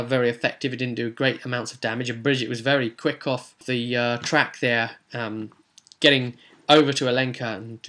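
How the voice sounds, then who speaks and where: A man's voice: mid-range voice, fairly bright tone. A man, a game master, casually recapping a tabletop role-play session and quoting the characters in his own voice, with many fillers.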